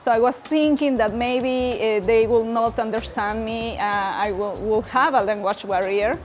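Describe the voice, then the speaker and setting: A woman from Peru, a native Spanish speaker with a Peruvian Spanish accent, giving a student testimonial and often pausing to search for words. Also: on the high side, soft background music. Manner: halting, searching for words in non-native English. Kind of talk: personal testimonial to camera.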